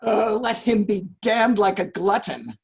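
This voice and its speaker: A man plays Falstaff, a fat, boastful old knight, in a slow, husky voice that swoops high and low. He blusters through his lines with stagey relish, sounding like someone on a video call. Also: animated, exaggerated voice. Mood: blustering. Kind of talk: live-streamed Shakespeare scene reading.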